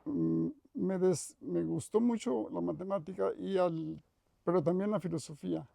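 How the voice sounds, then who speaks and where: An older man with a Costa Rican accent speaks in a measured, reflective way, stopping now and then to correct himself. His voice sits fairly high but is heavily gravelly and mellow. The recording is close and dry. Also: no echo. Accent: Costa Rican Spanish. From Costa Rica. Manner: thoughtful, measured, with frequent restarts and self-corrections. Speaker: retired professor interviewed. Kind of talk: reflective interview reminiscence.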